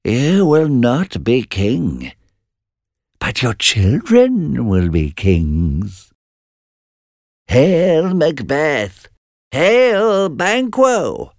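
A man voicing eerie female witches from a storybook, calling out prophecies in drawn-out chants. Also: medium pitch, huge pitch swings, darkish tone, no room sound, clean studio sound, voice pushed up, full character voice. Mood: eerie hailing. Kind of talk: storybook narration.

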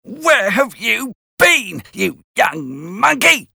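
A woman's voice: fairly high pitch, big pitch swings, very slow, gravelly, sharp tone, no room sound, clean studio sound, pitched above the performer's own voice, full character voice. A man voicing a hard, violent older woman who scolds a boy, in a storybook reading. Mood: scolding.

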